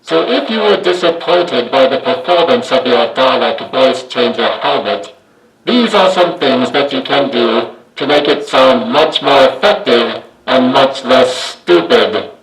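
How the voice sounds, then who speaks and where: A man hosting a do-it-yourself tutorial, walking through the steps in a measured, matter-of-fact way. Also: mid-range voice, animated, fairly bright tone, reverberant, soft background music, low-quality recording. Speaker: DIY video host. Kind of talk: step-by-step tutorial narration.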